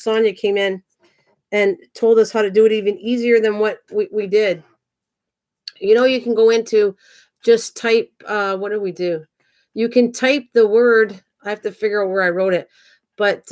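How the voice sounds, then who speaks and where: Woman, 60s, craft channel host, casual rambling live chat, answers viewers by name. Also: medium pitch. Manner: chatty, rambling, talks back to chat by name. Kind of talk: casual livestream chat reading viewer comments.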